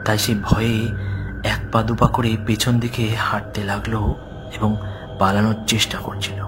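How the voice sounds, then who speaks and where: A man's voice: lowish voice, little pitch movement, normal speed. A man narrating a scripted horror story as its storyteller. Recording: music behind the voice.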